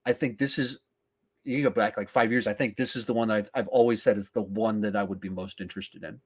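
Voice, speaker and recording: A man's voice: lowish voice, steady tone, fast talker, breathy edge. A man hosting a podcast, chatting casually about films in an emphatic way, often thinking aloud. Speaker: podcast host. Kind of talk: casual film discussion.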